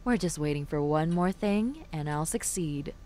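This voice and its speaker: A woman's voice: low-pitched, animated, normal speed, dark tone. A woman playing a gloating, bitter villainess and crime boss in an audio drama, with a mocking, theatrical delivery. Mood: smug, taunting.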